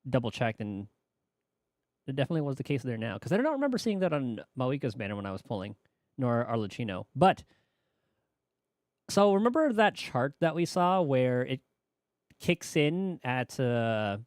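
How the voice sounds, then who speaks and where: Animated male streamer, close dry mic. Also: medium pitch, moderate pace, pauses often, no echo. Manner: thinking aloud, tentative, rambling. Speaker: game streamer. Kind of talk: casual livestream chat about game mechanics.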